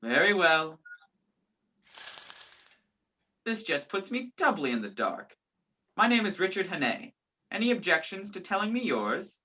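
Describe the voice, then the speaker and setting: Young woman doing a skeptical old-fashioned gent for a radio play, theatrical, bright voice that swings around, muffled lo-fi audio. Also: on the low side, sing-song, normal speed, exaggerated voice. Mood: puzzled, polite. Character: sceptical, gallant gentleman caught up in intrigue. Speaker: student actor in a school radio play. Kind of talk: amateur radio play acting. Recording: dull lo-fi home recording.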